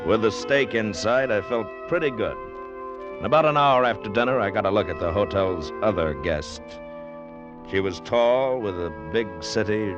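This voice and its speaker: Easygoing, wry American flyer male actor, low voice, slangy narration and dialogue for vintage radio drama, dull sound with loud music. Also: close to an everyday voice. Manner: casual, colloquial, folksy slang. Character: easygoing, wry male barnstorming pilot telling his story. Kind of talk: 1940s radio drama acting with first-person narration.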